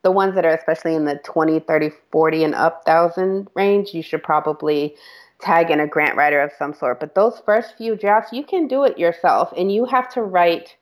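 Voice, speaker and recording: A woman's voice: lowish voice, moderate pace. A woman creative director speaking as a podcast guest, candid and chatty, laughing now and then and correcting herself as she goes. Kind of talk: casual interview talk.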